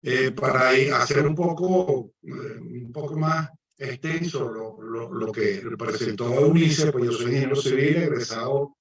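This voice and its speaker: A man's voice: mid-range voice, a little slow, few pauses. A man with a Venezuelan accent giving an online engineering lecture, with frequent hesitations and fillers. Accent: Venezuelan Spanish. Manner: hesitant, many fillers and restarts. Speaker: guest lecturer, civil engineer. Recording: echoey distant muffled mic.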